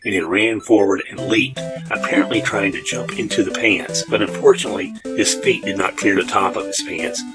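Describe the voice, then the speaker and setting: Male storyteller for humorous fairy-tale narration; low-pitched voice with a clear music bed. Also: steady tone, normal speed, hardly any pauses.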